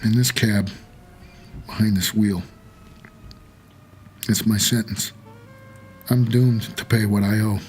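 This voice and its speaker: An actor playing a doomed, haunted taxi driver for the dead, narrating an audio drama in a world-weary, measured noir manner. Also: male voice, lowish voice, fairly even intonation, darkish tone, soft background music. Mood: brooding.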